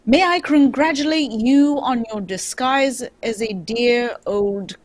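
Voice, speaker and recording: A woman playing a shrewd male detective with a husky, theatrical delivery in an amateur radio drama. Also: fairly high pitch, takes it slowly. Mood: measured. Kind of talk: amateur old-time radio drama reading.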